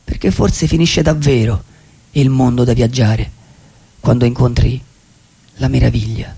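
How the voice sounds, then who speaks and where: A solitary wandering dreamer in a radio theatre monologue. His voice is husky and gravelly, at a middling pitch. He muses wistfully and philosophically, pausing and repeating phrases as he goes. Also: warm tone, full character voice. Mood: reflective. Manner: reflective, halting, with dramatic pauses and repeated phrases. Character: lonely, dreamy male vagabond, wistful and philosophical.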